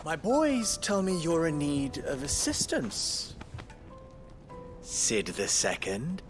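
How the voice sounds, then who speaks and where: An actor playing a smug, calculating merchant fixer in a video game, with a taunting, drawn-out theatrical delivery. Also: male voice, fairly high pitch, huge pitch swings, over music, exaggerated voice. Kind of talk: dramatic video game acting.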